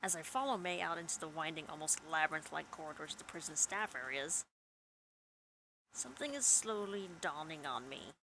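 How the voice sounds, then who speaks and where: A woman's voice: low voice, expressive, brisk, pushed and bright, slight background noise, rough recording. A woman gaming host reading visual novel narration aloud with dramatic first-person storytelling over game music.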